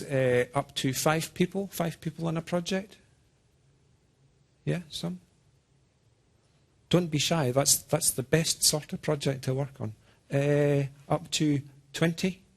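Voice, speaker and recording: A man from Scotland with a mixed Scottish and American accent gives an informal technical presentation, speaking deliberately and haltingly as he checks in with his listeners. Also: mid-range voice, vocal fry, fairly bright tone. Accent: Scottish-American mix. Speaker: software program manager giving a presentation. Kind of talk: informal technical talk to a small audience.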